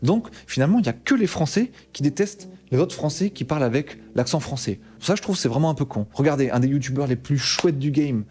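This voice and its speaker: A man with a Bordeaux French accent talks casually to camera as a tech YouTuber. He is animated and slangy, with a mildly exasperated tone. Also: fairly high pitch, fast, hardly any pauses.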